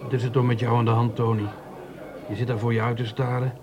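Radio play working stiff, male actor: medium pitch, hard up, distrustful, plain-spoken and grumbly. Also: little pitch movement, fast talker, a crowd in the background, close to an everyday voice. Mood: suspicious. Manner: blunt, colloquial. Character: suspicious, grumbling, beer-thirsty hard-up working man. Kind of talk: radio drama acting.

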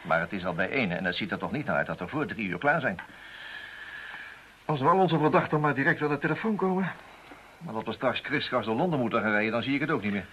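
An actor playing a brisk, dogged, wry investigator in a radio drama. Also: male voice, medium pitch, a bit bright, close to an everyday voice. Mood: considerate, wry. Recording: dull, faintly noisy old radio recording.

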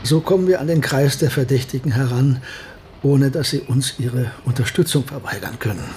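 An actor playing a scholarly professor who talks and narrates in a radio drama with measured phrasing. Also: male voice, mid-range voice, a little mellow, a little hiss or hum, lightly acted. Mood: earnest, persuasive. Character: scholarly male professor advising a military officer, also narrating.

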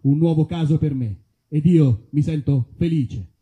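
A man's voice: a little high, unhurried, muffled recording. A man performing theatrical comic storytelling like a mock detective, in a mock-serious way at a live show. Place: live performance at an outdoor festival.

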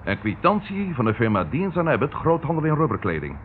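An actor playing an animated, dryly ironic police detective in an old radio drama. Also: male voice, fairly high pitch, fairly quick. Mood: intrigued. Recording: muffled, noisy old radio recording.

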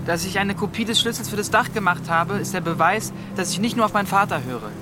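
A man's voice: high voice, fast, background noise, close to an everyday voice. A young male actor playing a teasing, evasive teenage boy in a radio drama, casual and youthful in his talk. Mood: eager, teasing.

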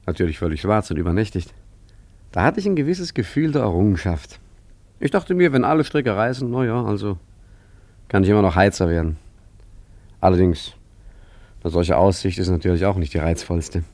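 Wry, low-voiced chatty rogue. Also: male voice, darkish tone, dry recording. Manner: urbane, chatty, ironic. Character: wry, talkative rogue art forger, haggling and recounting adventures. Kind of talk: German radio play acting and first-person narration.

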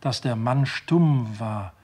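A man playing a puzzled, plain-spoken police subordinate with a husky voice in a vintage radio crime play. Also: mid-range voice, slow, darkish tone. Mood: reading out. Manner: bewildered, blunt, deferential to his chief.

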